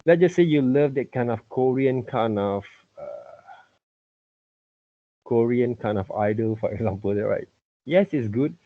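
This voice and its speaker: A middle-aged man with a husky voice teaching an informal online lesson, repeatedly checking with "okay" and "right". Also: medium pitch. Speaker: teacher, art and character design tutor. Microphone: computer mic on a video call. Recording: muffled video-call audio.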